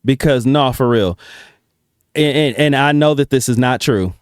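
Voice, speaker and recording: A man's voice: mid-range voice, a little slow, clean tone, no echo, clean studio sound. A man giving casual reaction commentary in a blunt, slangy, swearing-heavy manner. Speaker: reaction video host.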